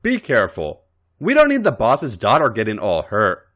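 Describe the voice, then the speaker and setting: Man voicing smirking young man, exasperated, protective, chiding, highly varied pitch, audio story. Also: huge pitch swings, moderate pace, fairly bright tone. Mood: calm, chiding. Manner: chiding, grumbling.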